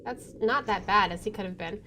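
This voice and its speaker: A woman's voice: medium pitch, brisk, sharp tone, a little hiss or hum. A young woman hosting a game channel, reading a visual novel aloud and breaking into jumpy, chatty reactions. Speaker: gaming channel host.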